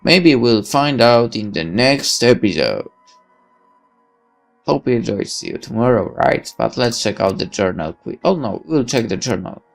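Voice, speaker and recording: Male gamer reading visual novel narration: theatrical, very lively pitch swings, gravelly fry, faint music behind. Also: unhurried. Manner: theatrical, animated, swearing. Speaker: gaming video host reading narration aloud. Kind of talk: dramatic expressive read-aloud of game narration with asides.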